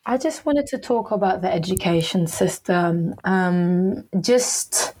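A woman's voice: on the low side, animated, slow pace, a little mellow, breathy edge, poor audio. A young woman who grew up in Germany and speaks German as her first language, earnestly telling personal stories in a casual podcast chat with many fillers. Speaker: guest.

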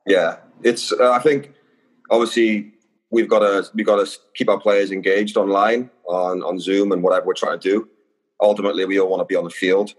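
Welsh man, Welsh accent, very deep voice, relaxed, friendly, long echo. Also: a little fast. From Wales. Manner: relaxed, friendly, uses fillers. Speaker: guest, youth soccer coach. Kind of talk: casual podcast interview. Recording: long reverb.

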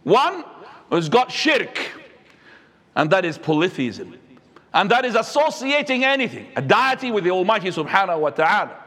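A man, preaching a sermon as an imam, swinging between high and low. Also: very animated, normal speed, a bit bright. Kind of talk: Friday sermon. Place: mosque. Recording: echoey hall.

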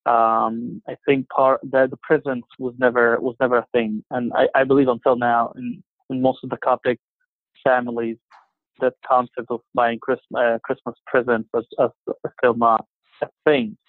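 A man's voice: medium pitch, little pitch movement, no room sound. Egyptian-born man on a tinny phone line. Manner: halting, many fillers and restarts. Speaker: interview guest. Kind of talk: casual conversation.

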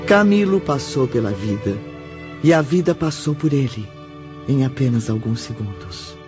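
A man narrating a radio drama as a solemn storyteller, in a deliberate, dramatic scripted style. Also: medium pitch, animated, lightly acted. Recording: dull lo-fi audio over music. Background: prominent music.

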